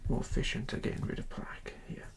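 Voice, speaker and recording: A person doing an ASMR dentist roleplay in a soft, breathy, creaky whisper, giving calm and reassuring instructions to a patient. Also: quick pace.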